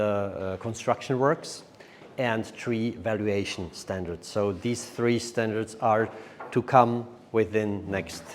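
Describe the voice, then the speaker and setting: A man giving a semi-formal conference presentation as a project speaker, with a halting, hesitant delivery. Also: on the low side, a little hiss or hum. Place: large echoey indoor hall. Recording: echoey hall with long reverb.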